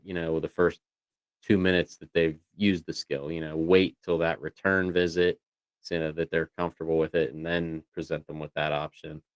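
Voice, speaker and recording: A man's voice: deep voice, clear, resonant tone, dry recording. A man chatting casually as a livestream co-host, talking in a rambling way with lots of "you know"s. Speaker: co-host of a voice tech show.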